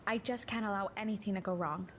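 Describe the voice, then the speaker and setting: A woman's voice: medium pitch, steady tone, fast talker, lightly acted. Woman acting assured covert agent over noisy ambience. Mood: guarded, pressing. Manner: assertive, insistent. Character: determined, assured young female covert agent, Amira. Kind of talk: audio drama acting.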